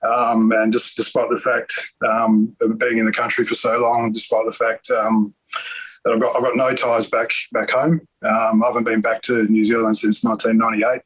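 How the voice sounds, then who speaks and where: A man who grew up in Australia telling his story in a measured, reflective way over a video call, with frequent ums. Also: lowish voice, completely flat delivery, fairly bright tone, breathy edge, poor audio. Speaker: detainee interviewee.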